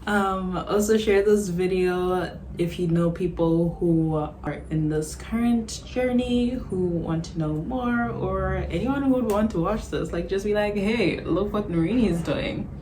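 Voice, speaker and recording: A woman's voice: mid-range voice, measured pace, few pauses, roomy sound, background noise. A young woman from Zimbabwe, a vlogger talking casually to camera, chatty and a little self-conscious, often laughing. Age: young adult. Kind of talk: casual vlog monologue. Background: background music.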